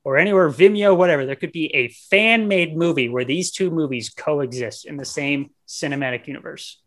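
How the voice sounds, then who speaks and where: A man's voice: a little high, fairly quick, fairly bright tone. A man co-hosting a podcast, casually retelling a movie in a rambling, slangy, profane way. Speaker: podcast co-host. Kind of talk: casual movie review banter.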